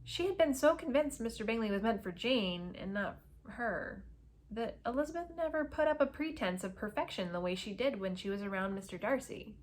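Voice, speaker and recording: A woman's voice: on the high side, lively intonation, brisk. Woman reading a romance novel aloud, expressively. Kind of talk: expressive storybook read-aloud.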